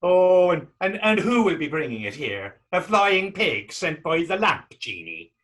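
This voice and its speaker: A man playing a skeptical, down-and-out Irish drifter in a staged period drama reading, husky and sardonic. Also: high-pitched, big pitch swings, unhurried, fairly bright tone, exaggerated voice. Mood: incredulous, joking. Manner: wry, sardonic. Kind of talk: stage readers-theater dialogue in Irish period dialect.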